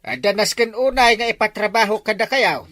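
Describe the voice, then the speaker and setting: A woman's voice: medium pitch, expressive, a little fast, a bit bright, close to an everyday voice. A woman playing a barangay captain in an Ilocano comedy skit, giving her delivery big exaggerated swoops. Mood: bossy. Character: bossy barangay captain called Kapitan.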